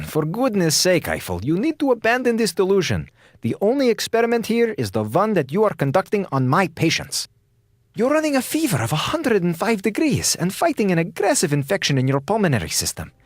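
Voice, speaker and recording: Radio drama villain: a condescending doctor, formal and coldly patient, with extremely wide pitch swings. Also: male voice, wildly swooping pitch, no room sound, clean studio sound, heavily acted. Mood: exasperated, condescending. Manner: formal, deliberate, condescending, clipped grammar. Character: menacing, coldly patient male station doctor.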